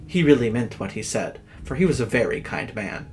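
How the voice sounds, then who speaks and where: A man's voice: medium pitch, sing-song, brisk. A man narrating horror stories as host, in a dramatic, theatrical scripted style.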